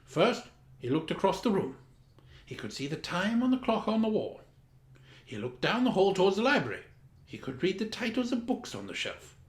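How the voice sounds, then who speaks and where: A man's voice: high-pitched, sing-song, normal speed. A man reading a children's story in a pompous, grandly formal and theatrical way, with dramatic emphasis. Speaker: storyteller, host of a story time. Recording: roomy, echoing room sound.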